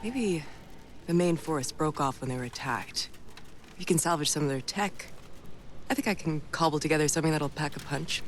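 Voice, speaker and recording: A scrappy, practical young mechanic from a video game, voiced by a man. The voice sits somewhat high for a male, with faint noise behind it. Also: moderate pace, natural voice. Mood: keen. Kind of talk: video game cutscene acting.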